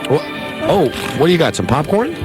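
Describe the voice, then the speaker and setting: A man's voice: a little high, big pitch swings, fairly quick, music in the background. An American man hosting a radio show with casual, rambling, teasing banter. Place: radio studio.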